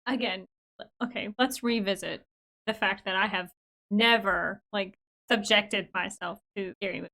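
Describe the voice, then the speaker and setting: An American woman co-hosting a podcast, chatting casually and expressively and quick to laugh. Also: mid-range voice, fairly even intonation, bright, forceful tone. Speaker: podcast co-host. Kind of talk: casual chat.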